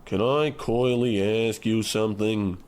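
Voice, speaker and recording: An actor playing a morose, earnest small-time gangster son in a comic old-time radio play. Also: male voice, fairly low pitch, fairly even intonation, a little slow, a little mellow, clean tone, lightly acted. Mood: glum. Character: glum small-time gangster son who loves boxing.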